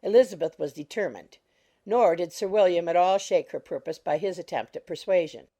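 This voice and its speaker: A woman reading scripted literary narration for an audiobook in a measured, formal manner. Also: fairly low pitch, dark tone.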